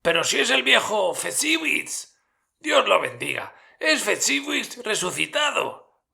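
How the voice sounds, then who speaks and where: A man's voice: high-pitched, wildly swooping pitch, slow, gravelly, pushed and bright, voice pushed up, full character voice. An old miser from a storybook, stirred and emotional, put on by a male narrator. His voice leaps well above the narrator's own and rings out with raised, heartfelt exclamations. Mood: moved, excited.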